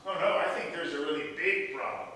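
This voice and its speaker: A man's voice: a little high, brisk, bright, forceful tone, a little hiss or hum. A man acting the exasperated grown son of an elderly father in a comic stage play, hesitant and disbelieving. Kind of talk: comic one-act stage play dialogue. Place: theatre stage, recorded from a distance. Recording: echoey hall, far from mic.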